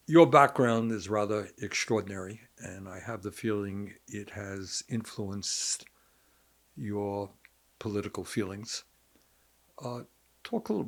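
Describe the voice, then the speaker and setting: An older man hosting a podcast interview, asking questions in a measured, deliberate way. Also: on the low side, creaky.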